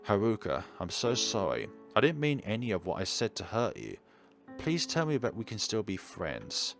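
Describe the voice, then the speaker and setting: Man playing an anguished, low-voiced schoolboy. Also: huge pitch swings, normal speed, gravelly, a bit bright, faint music behind, full character voice. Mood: pleading. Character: anguished, self-blaming teenage schoolboy, pleading and insecure.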